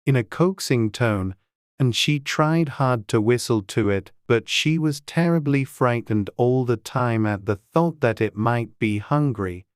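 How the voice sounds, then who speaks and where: An audiobook narrator reading a storybook in a measured, unhurried and gently expressive way. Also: medium pitch, smooth, dry recording, studio quality.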